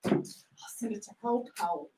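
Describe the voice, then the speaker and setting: A woman's voice: mid-range voice, normal speed, warm tone, a little hiss or hum, lightly acted. A woman playing a reformed old-story miser, a man, in an effusive, exclamatory theatrical reading. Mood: excited, generous. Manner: exclamatory, effusive, breathless repetition. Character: reformed miser hero, giddy, frantic, overjoyed man. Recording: roomy, distant microphone.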